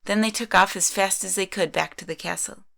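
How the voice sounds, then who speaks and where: A woman's voice: mid-range voice, fairly even intonation, fairly quick, creaky, a bit bright. A woman narrating a scripted story in the third person.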